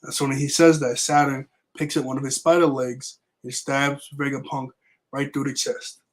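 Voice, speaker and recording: Raspy-voiced young man recapping casually. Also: medium pitch, level tone, normal speed. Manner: casual, rambling recap with fillers. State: raspy voice recovering from illness. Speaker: manga review vlogger. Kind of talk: casual chat.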